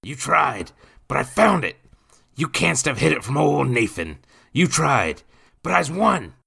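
A man voicing a spiteful, belligerent drunken widower in an audiobook, slurring and bellowing in rough, uneducated dialect. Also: mid-range voice, sing-song, unhurried, bright, forceful tone, voice pushed up, exaggerated voice. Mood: gloating rage. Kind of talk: dramatised audiobook character voice.

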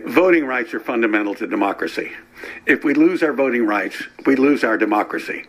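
Emphatic older man, medium-pitched, interviewed. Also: lively intonation, a little fast, a bit bright, poor audio. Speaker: state legislator. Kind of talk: interview answer.

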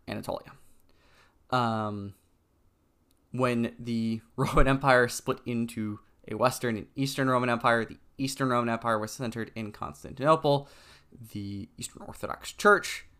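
A man with a smooth, clear voice of middling pitch talks through his subject alone. He is relaxed and conversational, filling gaps with ums and stumbling now and then over names. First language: English. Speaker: podcast host. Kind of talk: solo educational podcast talk.

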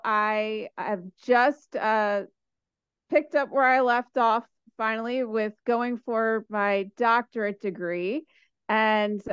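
A young woman interviewing a mentor, asking eager, halting questions with many restarts. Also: on the high side, fairly bright tone, smooth, dry recording, dull audio. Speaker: interviewer, doctoral student. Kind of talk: casual interview.

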